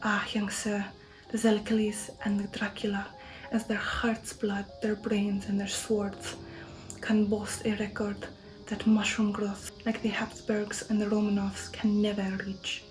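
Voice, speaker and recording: A woman takes the part of an old vampire count in a gothic audiobook, proud and stately. The delivery is soft and half-whispered with a dark, mellow tone. Its pitch stays quite even as the count declaims. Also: unhurried, soft background music, close to an everyday voice, low-quality recording. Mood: solemn pride. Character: proud old male vampire count boasting of warlike ancestry. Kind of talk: ASMR audiobook reading of a gothic novel.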